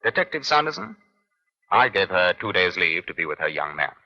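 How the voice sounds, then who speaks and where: A wry, gallant British inspector from a vintage radio crime serial. His voice is piercingly bright and swings widely in pitch, with clipped orders and dry understatement. The old recording is muffled. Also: big pitch swings, brisk, exaggerated voice. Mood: brisk, composed. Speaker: lead actor and narrator. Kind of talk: 1950s radio crime drama acting.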